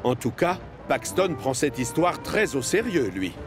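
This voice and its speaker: A man's voice: medium pitch, big pitch swings, a little slow. A man giving scripted storybook narration as the narrator of a children's cartoon. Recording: music behind the voice.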